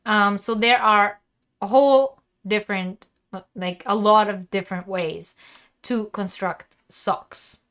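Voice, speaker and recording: Woman, crochet teacher, live stream, chatty, laughs often, voice going hoarse, coughs. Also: medium pitch, steady tone, slow, fairly bright tone. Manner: chatty and laughing, with many fillers and restarts. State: losing her voice, coughing. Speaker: crochet teacher and channel host. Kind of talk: live-streamed craft lesson, answering chat.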